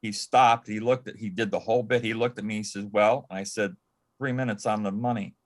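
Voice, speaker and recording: A man telling anecdotes as a guest on a panel, in a halting way, often repeating words and restarting his sentences. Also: on the low side, fairly even intonation. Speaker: panel guest, former personal assistant to an entertainer. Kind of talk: anecdotal storytelling in a panel interview.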